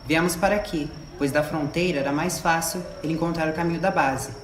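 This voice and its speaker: A man playing a stern guerrilla operations chief in an amateur radio drama, speaking in measured statements. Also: fairly high pitch, steady tone, lightly acted. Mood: cautious, serious. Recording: echoey room with background noise.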